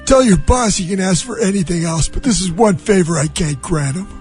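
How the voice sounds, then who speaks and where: A blustering middle-aged movie mogul, played by a man in a comic impression, pitched very high. He rants with wounded pride and heavy emphasis, stretching out each grievance. Faint music sits behind the voice. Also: a little mellow, natural voice. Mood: defiant. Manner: emphatic, drawn-out ranting. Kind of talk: comic impression of a film scene.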